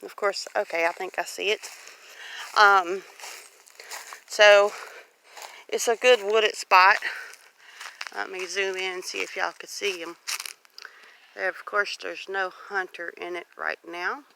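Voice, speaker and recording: Bright-voiced Southern US woman, chatty and folksy. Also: mid-range voice, flat delivery, slow pace, gravelly, pauses often, poor audio. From Louisiana, US. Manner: chatty, folksy, explaining while walking. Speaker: homestead vlogger. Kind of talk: casual walk-and-talk vlog. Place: outdoors on a rural homestead. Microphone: handheld phone. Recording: faint outdoor noise, handheld phone.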